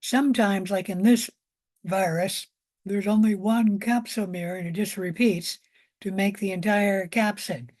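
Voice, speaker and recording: Hoarse, slow-paced woman giving a lecture. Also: mid-range voice, fairly even intonation, darkish tone. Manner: unhurried explaining with pauses and repetition. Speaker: college biology lecturer. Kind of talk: informal recorded lecture.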